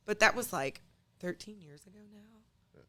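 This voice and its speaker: Raspy-voiced woman chatting candidly. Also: mid-range voice, animated, measured pace, pushed and bright. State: sick with a cold. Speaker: guest, musician and wedding singer. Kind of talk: casual chat, candid storytelling.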